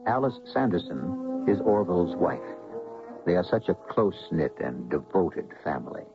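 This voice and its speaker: Very deep, gravelly male radio host. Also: sing-song. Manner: theatrical, deliberate. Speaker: radio drama host and narrator. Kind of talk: dramatic scripted narration. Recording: muffled old radio broadcast. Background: music.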